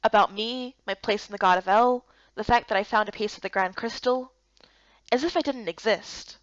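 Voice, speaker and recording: A woman's voice: medium pitch, normal speed, no echo, highs cut off. A woman reading scripted first-person narration from a visual novel. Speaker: narrator and lead character reader.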